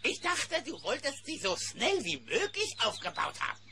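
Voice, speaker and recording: A man's voice: very high-pitched, wildly swooping pitch, moderate pace, noisy background, heavily acted. An actor playing a grumpy, stubborn bear handyman in a cartoon radio play, with a sarcastic manner.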